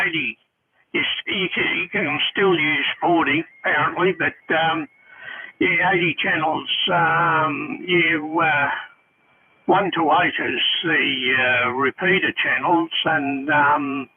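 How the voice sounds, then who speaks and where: A man chatting casually over ham radio as a hobbyist, hesitant and halting in his delivery. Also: a little high, slightly rough. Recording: tinny band-limited radio link.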